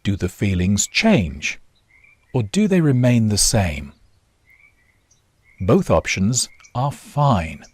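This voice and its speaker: A man guiding a body scan meditation in short, measured instructions with long pauses. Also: lowish voice, sing-song, darkish tone, slightly rough, no room sound. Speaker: meditation guide. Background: nature sounds.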